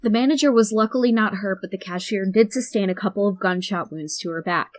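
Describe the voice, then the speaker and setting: A woman playing a TV news anchor, reading the news briskly. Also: mid-range voice, natural voice.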